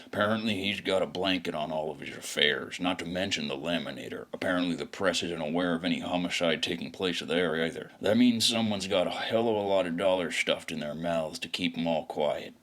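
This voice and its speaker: A male actor playing a brooding, deadpan, wisecracking private eye in a noir audio drama. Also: low voice, a little fast, hardly any pauses. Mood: sardonic.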